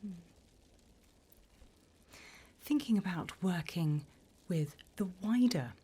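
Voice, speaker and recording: A woman's voice: on the low side, lively intonation, takes it slowly, mellow, full character voice. A woman voicing a sly, teasing demon job interviewer from hell in a whispered, mock-formal ASMR roleplay. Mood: sly, curious. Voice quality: breathy whisper.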